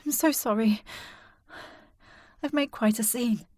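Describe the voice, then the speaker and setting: A well-mannered young lady of a period audio drama. Her voice sits fairly high and is recorded very dry. She speaks formally and earnestly, with determination under the politeness. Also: slow, darkish tone, no echo. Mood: shaken, apologetic.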